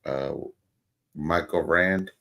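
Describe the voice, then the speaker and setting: A man's voice: low-pitched, very slow, sharp tone. A man in his forties chatting casually, blunt and curious, asking questions. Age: 40s. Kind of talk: casual chat.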